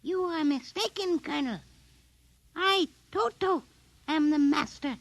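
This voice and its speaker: An actress in a 1950s radio drama voicing a tiny alien doll commander, haughty, precise and coldly menacing. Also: female voice, sits high, measured pace, creaky, dry recording. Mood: cold, imperious.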